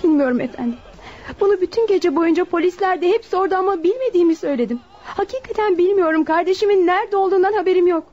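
A high, soft-toned female voice plays a worried young waitress. She speaks fast, respectful and earnest, in radio drama style. The recording is slightly dull. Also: animated. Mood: anxious, defensive. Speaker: voice actor. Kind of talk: Turkish radio drama acting.

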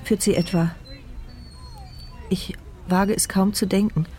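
A woman's voice: mid-range voice, fairly even intonation, takes it slowly, mellow, lightly acted. Woman voicing a fearful paralysed narrator. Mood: anxious. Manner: tense, fearful, introspective. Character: paralysed mute woman Elise, anxious inner narrator. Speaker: narrator of inner thoughts. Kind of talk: radio play inner monologue.

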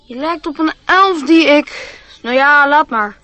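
Radio play boy: young, lonely, dreamy, high-pitched, sincere. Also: measured pace, bright, forceful tone, no echo. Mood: earnest, dreamy.

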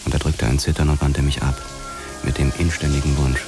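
An actor playing a brooding, terse young police detective who narrates a noir crime drama in a measured, sombre way. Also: male voice, a bass voice, little pitch movement, music in the background. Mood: uneasy, restrained. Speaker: first-person narrator.

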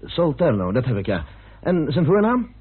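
A man's voice: a little high, very animated, moderate pace, slightly rough. An actor playing an earnest, questioning male investigator in a vintage Dutch radio mystery, on a muffled old recording. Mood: eager, questioning. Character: earnest male investigator following up a murder lead.

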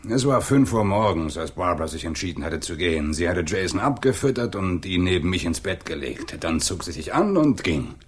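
An actor playing a weary, guarded single father in a German radio drama, husky and evasive. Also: deep voice, very animated, a little fast, few pauses, exaggerated voice. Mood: resigned, defensive. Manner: evasive, defensive, hesitant.

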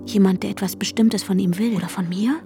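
Over a clearly audible music bed, an actress plays an anxious woman with an intense, hushed delivery. Her sentences are short and clipped, in the manner of a radio drama heroine who needs control. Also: medium pitch, fairly even intonation, fast, natural voice. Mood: tense, brooding. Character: anxious, controlling woman searching for her vanished fiancé, narrating. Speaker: protagonist and narrator. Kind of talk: radio drama inner monologue and dialogue. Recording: music under the voice.

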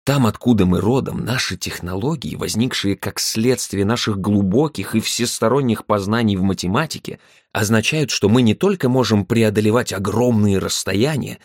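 A man narrating a literary first-person story in a measured, deliberate way, with dramatic pauses. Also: fairly low pitch, husky, no room sound, studio quality. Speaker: audiobook narrator.

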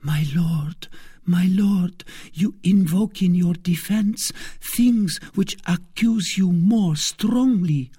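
A woman voicing a troubled queen, speaking in a hushed, breathy plea for a dramatic audiobook. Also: lowish voice, takes it slowly, no echo, studio quality, exaggerated voice. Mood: anguished. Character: troubled queen, torn and fearful.

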